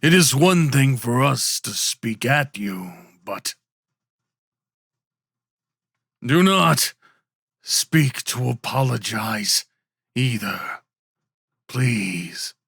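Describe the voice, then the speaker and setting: A man voicing a huge, stoic infernal wolf in an ASMR roleplay, speaking in a deliberate, formal and teasing way. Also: mid-range voice, lively intonation, creaky, fairly bright tone, husky, no echo, clean studio sound, exaggerated voice. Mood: solemn, guarded. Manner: slow, deliberate, formal speech. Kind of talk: ASMR roleplay character acting.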